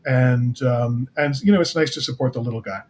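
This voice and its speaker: A man's voice: mid-range voice, a little fast, a little mellow, slight background noise. A man chatting casually as a guest writer and producer, talkative and given to explaining things. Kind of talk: casual chat.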